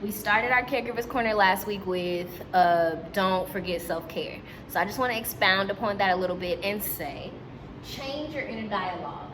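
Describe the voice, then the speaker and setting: A woman with a mid-range voice talks like a preschool teacher at storytime. She is animated and encouraging, addressing children and their caregivers as friends. There is noticeable background noise on the recording. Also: mid-range voice, normal speed. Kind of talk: read-aloud storytime with asides to young children and caregivers.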